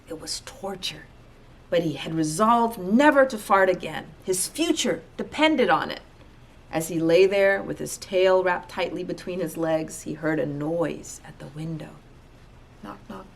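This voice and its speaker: A woman reading a children's story aloud in an expressive narrating style. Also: lowish voice, creaky, slight background noise. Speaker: storybook reader.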